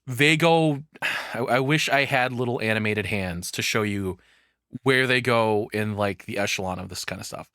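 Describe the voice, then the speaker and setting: A man's voice: fairly low pitch, normal speed, pushed and bright, smooth, no room sound, clean studio sound. A man giving casual reaction commentary as a streamer, rambling along and swearing freely.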